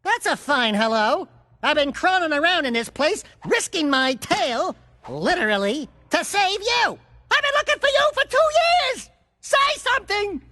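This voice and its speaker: A man voicing a cocky, indignant little furry animal sidekick with a squawky voice in an animated video game. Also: extremely high pitch, normal speed, no echo, clean studio sound, exaggerated voice. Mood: exasperated, cocky.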